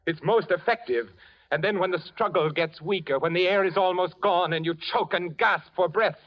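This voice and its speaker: An actor playing a suave, menacing man who plans a murder, in 1940s radio drama style with a measured, silky delivery. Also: high-pitched, close to an everyday voice. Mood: gloating. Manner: silky, measured, quietly sinister. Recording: dull old 1940s radio recording.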